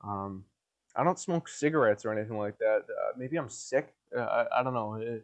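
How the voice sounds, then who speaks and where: A young man with a nasal voice hosting a solo podcast from a hot basement, rambling in a crude, deadpan way with lots of restarts, his mouth dry and a little coughy. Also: lowish voice, normal speed. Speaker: podcast host.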